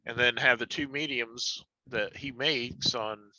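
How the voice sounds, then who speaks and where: Male game player, bright forceful voice, casual, on dull lo-fi audio. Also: mid-range voice, steady tone, a little slow, no room sound. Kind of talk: casual group game chat. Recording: dull, dry lo-fi voice capture.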